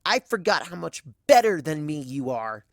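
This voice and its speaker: A narrator plays a young pony mare in a storybook tale, wounded and bitter. The lines are terse and strained, with a creaky edge, in a very dry recording. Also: mid-range voice, big pitch swings, normal speed, dry recording. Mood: angry, bitter. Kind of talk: dramatic audiobook fan fiction reading.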